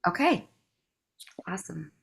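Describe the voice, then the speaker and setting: Woman coach hosting a group call, low gravelly voice, gentle and encouraging. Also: big pitch swings, a little slow. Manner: soft-spoken, encouraging, frequent affirmations. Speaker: host and coach. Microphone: video call.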